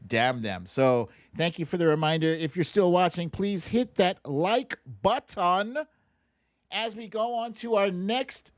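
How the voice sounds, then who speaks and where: A man's voice: high-pitched, a little slow, dry recording, clean studio sound. A middle-aged American man hosting a casual livestream, informal and opinionated, often repeating words for emphasis. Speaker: livestream host.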